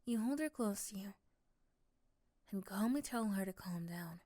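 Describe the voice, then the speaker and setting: Female narrator for ASMR roleplay. Scripted second-person storytelling, medium pitch, very dry sound. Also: expressive, measured pace, dark tone, no room sound.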